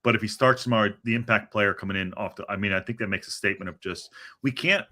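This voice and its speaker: A man hosting a football podcast, talking in an animated, rambling way with a lot of restarts. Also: on the low side, fast. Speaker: podcast host. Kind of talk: casual chat.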